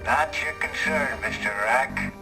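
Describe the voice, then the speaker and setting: Cold mob boss over music. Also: male voice, medium pitch, measured pace, vocal fry. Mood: cold, commanding. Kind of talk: video game villain acting.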